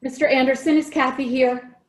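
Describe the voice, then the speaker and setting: A woman playing an affectionate, fretful caretaker of a young child in a radio drama, expressive and coaxing. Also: high-pitched, brisk, natural voice. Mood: worried.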